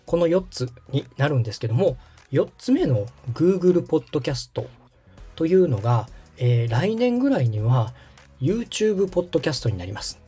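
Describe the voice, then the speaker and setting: Podcast tutorial host: mellow, slightly husky, explanatory, wide-moving intonation over background music. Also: medium pitch, very animated, unhurried. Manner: explanatory, checks the listener's understanding. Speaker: host and radio director giving a tutorial.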